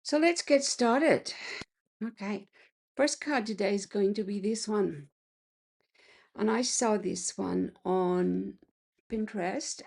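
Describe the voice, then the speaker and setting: Casting: unhurried crafter woman, gravelly and husky, croaky today. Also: medium pitch, a little mellow. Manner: chatty, clears throat often. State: slightly croaky voice. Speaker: card-making crafter. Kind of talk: casual craft tutorial.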